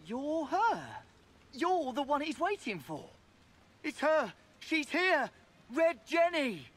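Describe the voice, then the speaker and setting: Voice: sits high, very animated, takes it slowly, a little hiss or hum, exaggerated voice. An actor voicing a terrified, cowardly underling in a video game, pleading in a panicky, stammering way. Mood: panicked. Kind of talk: video game character acting.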